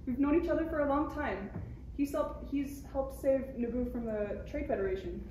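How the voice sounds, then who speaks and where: A woman's voice: high-pitched, moderate pace, dark tone, close to an everyday voice. A woman playing a playful, kind young princess senator at a table read of a parody script. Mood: friendly, matter-of-fact. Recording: echoey noisy room, distant mic.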